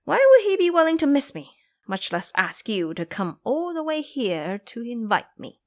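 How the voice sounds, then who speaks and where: A proud monkey king performed by a woman in an audio drama. He speaks high and swooping, haughty and teasing, as one used to giving orders. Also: big pitch swings, moderate pace. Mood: scornful. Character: proud, sharp, mocking male monkey king.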